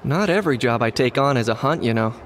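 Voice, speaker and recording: Actor as a calm young male adventurer. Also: medium pitch, fast, a little hiss or hum, lightly acted. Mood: wry, calm. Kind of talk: video game voice acting.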